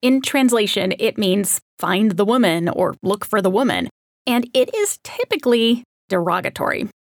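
A woman's voice: medium pitch, sing-song, moderate pace, no echo, clean studio sound. A woman hosting an art history podcast, reading a scripted narration in a conversational way with wry asides. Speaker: podcast host.

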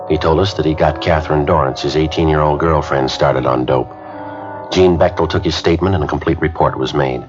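A terse detective in an old radio play, reading his case report in clipped, flat statements. His voice is very low, with music behind it and a dull old-radio sound. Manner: clipped, matter-of-fact. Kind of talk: 1950s radio drama acting.

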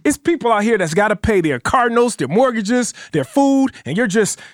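Animated high-voiced man telling stories. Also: moderate pace, no room sound, studio quality. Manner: animated storyteller, laughs often. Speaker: podcast co-host, former police officer. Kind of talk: casual storytelling chat.

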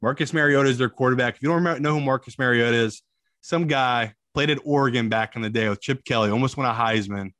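A man's voice: medium pitch, quick pace, a bit bright, no room sound. Blunt man talking sports betting, dry close sound. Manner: blunt, opinionated, emphatic repetition. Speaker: sports betting analyst, podcast co-host. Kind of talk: casual sports betting chat.

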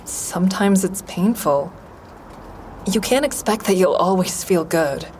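A woman's voice: lowish voice, animated, measured pace, a little mellow, slight background noise. A woman playing an introspective therapy-group member who narrates her own story in a confiding, reflective way for an audio drama. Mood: tender. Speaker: narrator and lead actor.

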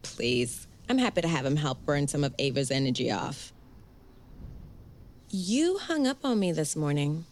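A woman's voice: low-pitched, sing-song, unhurried, darkish tone. A woman acting a chatty, caring, teasing aunt in a naturalistic audio drama.

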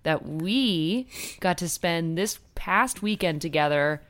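A woman's voice: lowish voice, takes it slowly. Chatty young woman podcast co-host. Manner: chatty, animated, swears casually. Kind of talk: casual chat.

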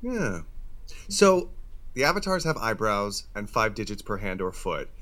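A man's voice: lowish voice, big pitch swings, measured pace, bright, forceful tone, exaggerated voice. A man playing a laid-back musical-theatre writer with a casual, droll delivery. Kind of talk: scripted audio comedy acting.

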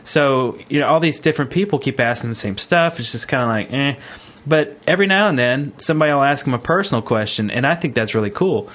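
A man's voice: mid-range voice, animated, fast, fairly bright tone. A man co-hosting a podcast in a casual, conversational, rambling way. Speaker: podcast co-host.

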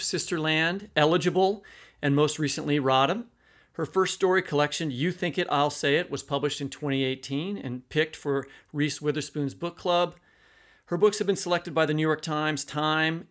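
Male American podcast co-host, fairly high voice with plenty of fry, conversational delivery. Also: fairly bright tone.

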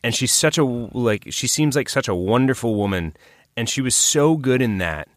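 A man's voice: mid-range voice, expressive, moderate pace, no echo, clean studio sound. A man co-hosting a podcast over a video call, with easygoing, laid-back banter. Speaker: podcast co-host. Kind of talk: casual chat on a video call.

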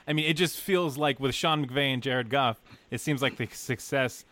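A man's voice: mid-range voice, moderate pace, a bit bright. A man co-hosting a podcast, talking in a rambling, laid-back, jokey way. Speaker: podcast co-host. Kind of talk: casual podcast chat.

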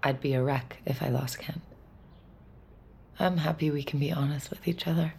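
Audio drama girlfriend: low, heavily creaky voice, caring, worried, tentative, intimate naturalism. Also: steady tone, normal speed, warm tone, exaggerated voice. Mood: tender, tentative. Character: caring, anxious young girlfriend seeking honesty.

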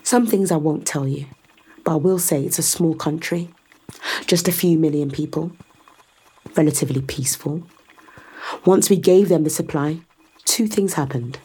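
A calculating woman in power for a science fiction radio play. Her voice sits fairly low with a husky edge. She speaks with controlled, sardonic authority, laying out her case persuasively. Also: moderate pace, pauses often, dark tone, low-quality recording. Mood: confiding, explaining. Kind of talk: full-cast science fiction audio drama acting.

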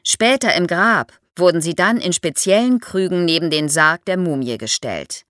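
Expressive low-voiced woman explaining history. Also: normal speed, no room sound, clean studio sound. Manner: didactic, poses questions to listeners. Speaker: expert guest. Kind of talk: explaining history to young listeners.

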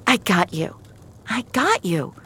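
A woman's voice: medium pitch, big pitch swings, slow pace, fairly bright tone, breathy edge, pitched above the performer's own voice, exaggerated voice. A woman voicing a hardened but protective female fugitive in an audio thriller. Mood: urgent, consoling.

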